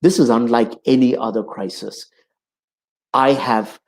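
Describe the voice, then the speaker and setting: A man in his sixties hosting a conversation show, speaking in an earnest, measured way from his home office. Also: mid-range voice, steady tone. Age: 60s. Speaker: host. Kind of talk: hosted conversation opening monologue.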